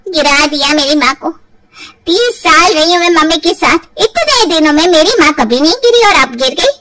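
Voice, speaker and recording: A woman's voice: high voice, lively intonation, moderate pace, far from the mic. A woman voicing an accusing, sarcastic, overdramatic married daughter in an acted storybook. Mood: angry, accusing. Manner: sharp-tongued, dramatic, sarcastic. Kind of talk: acted Hindi audio storybook.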